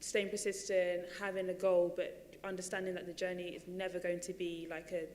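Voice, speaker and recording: A woman speaking on a panel in a big theatre, her talk chatty and loose, full of "like" and "um." Her voice sits a little low, and a long reverb from the hall trails each phrase. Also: level tone, brisk, darkish tone, slight background noise. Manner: chatty, many fillers and restarts. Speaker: panel speaker, running coach. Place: large theatre stage.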